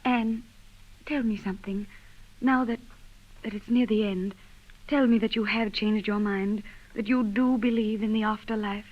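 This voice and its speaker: A woman's voice: mid-range voice, steady tone, normal speed, natural voice. An actress in a 1930s radio melodrama playing an anxious, devoted woman pleading with a friend. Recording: dull 1930s radio recording.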